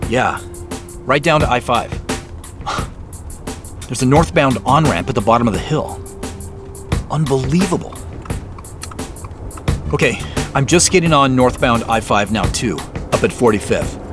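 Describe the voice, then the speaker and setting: An actor plays a man coordinating a surveillance tail in a naturalistic audio drama. He is wary and concerned, reacting conversationally with lively swings in pitch. Music and car noise run underneath. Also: unhurried, pauses often, lightly acted. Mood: wary, focused.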